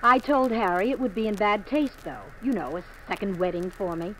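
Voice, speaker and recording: A woman's voice: medium pitch, lively intonation, a little fast, lightly acted, rough recording. An actress playing an urgent, secretive young woman in a 1950s radio drama. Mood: composed pretense. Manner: pleading, insistent. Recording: old radio recording, faint noise.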